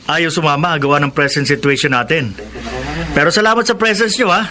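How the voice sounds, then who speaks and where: A man's voice: fairly high pitch, a little fast, pushed and bright, a little hiss or hum. An actor playing a cheerful, friendly man hosting a small reunion in a Filipino radio drama.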